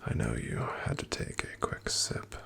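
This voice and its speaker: A man in an ASMR roleplay voicing a calm, careful paladin travel companion, softly whispering to the listener. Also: measured pace, lightly acted. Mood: calm hushed. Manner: soft, gentle whispering to the listener.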